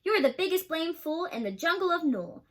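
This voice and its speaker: A young woman doing a squeaky jeering baby kangaroo. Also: very animated, a little fast, a bit bright, full character voice. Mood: mocking. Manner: mocking. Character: small scornful young kangaroo in a pouch.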